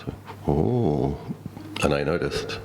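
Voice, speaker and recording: Casting: middle-aged Australian male, extremely low register, Buddhist teacher sharing personal anecdotes conversationally and reflectively, light background noise. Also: measured pace. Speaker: Buddhist monk giving a talk. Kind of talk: dhamma talk with personal storytelling.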